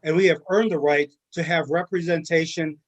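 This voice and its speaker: A man speaks against a nomination at a meeting in a fairly high voice. He starts haltingly and then presses his point insistently. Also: fairly even intonation. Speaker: meeting member. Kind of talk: short speech against.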